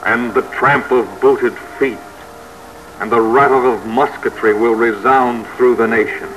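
An actor playing a dark, tormenting spirit of conscience in an old radio drama, speaking in a measured, coaxing, ominous way. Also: male voice, mid-range voice, fairly even intonation, lo-fi sound, a little hiss or hum, natural voice. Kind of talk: old-time American radio drama acting.

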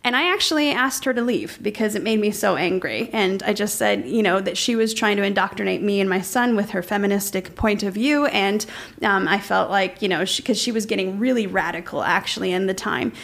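Woman, middle-aged, podcast chat, earnest, rambling, halting restarts, middle pitch. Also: gravelly, fairly bright tone. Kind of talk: casual conversational storytelling.

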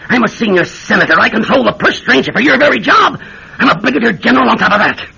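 High, raspy, blustering senator. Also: male voice, animated, fast talker, pushed and bright, heavily acted. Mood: indignant, threatening. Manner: blustering, imperious. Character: pompous, bullying senior senator and brigadier general. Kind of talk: classic radio drama acting. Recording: dull old radio drama recording.

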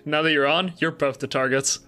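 A man's voice: a little high, steady tone, a little fast, sharp tone, faint music behind. A man hosting a stream in a casual, chatty way. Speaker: stream host.

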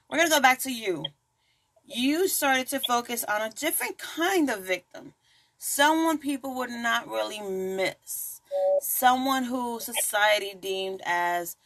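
A woman's voice: a little high, lively intonation, takes it slowly, a bit bright. A woman hosting a true crime podcast in a casual, chatty way, full of asides and jokes. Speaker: podcast host.

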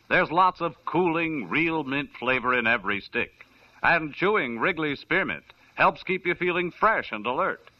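A man working as a radio announcer, reading ads and credits in a polished, upbeat style. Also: a little high. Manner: polished, upbeat, sing-song delivery. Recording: dull old radio broadcast.

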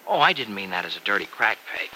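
A very bright, forceful voice that swings freely in pitch: an earnest young American farm fellow in an old radio drama. He is folksy, slangy and teasing. The old recording carries a faint hiss. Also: sing-song, fast, heavily acted, rough recording. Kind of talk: mid-century American radio drama acting.

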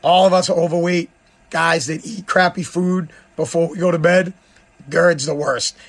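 Hoarse man rambling on his podcast. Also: fairly high pitch, steady tone, moderate pace. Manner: rambling, blunt, self-deprecating. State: hoarse, lost voice. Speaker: podcast host. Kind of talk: casual solo podcast talk.

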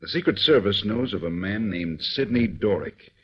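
An actor playing a grave, authoritative government official in an old radio drama, with a husky voice. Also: male voice, lowish voice, expressive, slight background noise, lightly acted. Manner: measured, authoritative. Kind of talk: 1940s radio acting. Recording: dull old radio recording.

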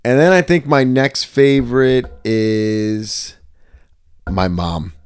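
A man's voice: mid-range voice, steady tone, slow, smooth, no room sound. A young man co-hosting a podcast in casual chat, telling stories animatedly and acting out quotes in different voices. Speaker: podcast co-host.

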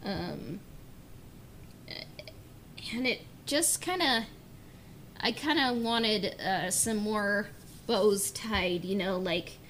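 Woman, medium pitch, casual fan chat, informal hedging delivery, faint noise behind the voice. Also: drawn-out words, long pauses, a bit bright. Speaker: podcast panelist. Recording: clear background noise.

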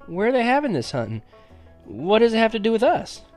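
A man's voice: high-pitched, huge pitch swings, brisk, a little mellow, soft background music, pitched above the performer's own voice. A man voicing an eager, wide-eyed young country boy in a storybook, with a breathless, hesitant, astonished manner. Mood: amazed.

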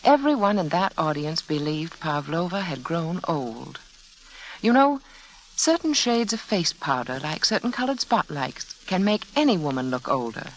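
An American woman giving a measured, confiding sales pitch as hostess of a 1940s radio show. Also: big pitch swings, rough recording. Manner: measured, confiding, direct address. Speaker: sponsor's hostess. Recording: old radio broadcast, faint noise.

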